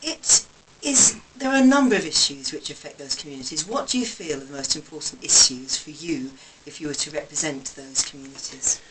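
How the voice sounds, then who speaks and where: A woman's voice: low-pitched, brisk, distant mic. A woman radio interviewer putting questions to a guest, recorded a little away from the microphone. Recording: off-mic, faint background noise.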